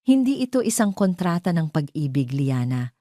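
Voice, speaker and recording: A woman with a Filipino accent voicing a calm, professional woman mentor in an audio story, measured and instructive. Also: lowish voice, dry recording, clean studio sound. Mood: firm, instructing.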